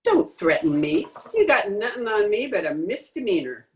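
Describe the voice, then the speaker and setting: A woman's voice: medium pitch, big pitch swings, normal speed, natural voice. A woman playing a tough, defiant swindler in an old-time radio crime drama, slangy, sneering and cocky. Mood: defiant, gloating. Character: brassy, defiant female swindler, tough and mocking. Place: home recording over video call.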